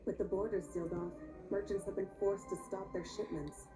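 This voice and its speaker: An actress voicing a composed, confident female leader in a video game, speaking in a calm, direct and assured way. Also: mid-range voice, brisk, over music, lightly acted. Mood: calm, serious. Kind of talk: scripted video game dialogue.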